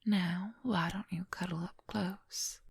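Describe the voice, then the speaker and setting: A woman's voice: on the low side, steady tone, slow pace, no room sound, heavily acted. A woman playing a possessive, wry female werewolf lover in an intimate, confiding whispered ASMR roleplay, close to the microphone. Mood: tender, sultry. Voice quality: breathy, husky whisper. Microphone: close microphone, dry.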